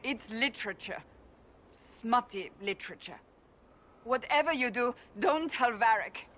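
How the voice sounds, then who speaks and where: An actress playing a proud, gruff warrior woman in a fantasy video game, clipped, defensive and emphatic. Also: a little high, animated, unhurried, fairly bright tone. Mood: sheepish, fervent. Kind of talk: cinematic game dialogue acting. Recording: faint background noise.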